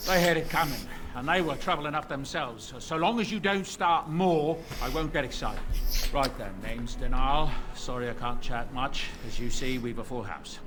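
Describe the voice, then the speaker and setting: An actor playing a harried but loyal village tavern keeper in a video game, plain-spoken and gossipy. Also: male voice, medium pitch, moderate pace, music in the background, lightly acted. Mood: harried, wary.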